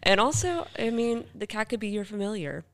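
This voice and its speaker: A woman's voice: medium pitch, lively intonation, a little fast. A woman co-hosting a podcast, chatting casually and peppering her talk with "like". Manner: conversational, uses "like" often. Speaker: podcast co-host. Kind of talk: casual chat about the paranormal.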